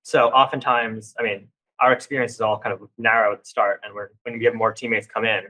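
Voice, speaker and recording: A man with a fairly low but bright voice answering a panel question. He speaks in a thoughtful, measured way. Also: little pitch movement, rough recording. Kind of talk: casual panel talk.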